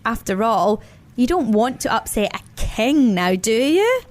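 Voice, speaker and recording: A woman's voice: on the high side, big pitch swings, measured pace, darkish tone. A woman voicing a timid little rabbit in a children's story, with a hesitant, childlike manner. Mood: nervous.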